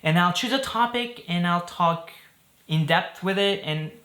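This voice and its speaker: A man's voice: sits high, measured pace, bright, forceful tone, roomy sound. A man from Belgium in his thirties, hosting a casual talk to camera in an upbeat, chatty way. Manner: upbeat and chatty, with fillers and jokes. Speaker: host.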